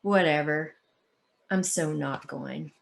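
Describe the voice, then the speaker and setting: A woman's voice: sits low, takes it slowly, vocal fry, slight background noise, pitched below the performer's own voice, heavily acted. Sullen schoolboy bully, read by a woman. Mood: sullen, dismissive.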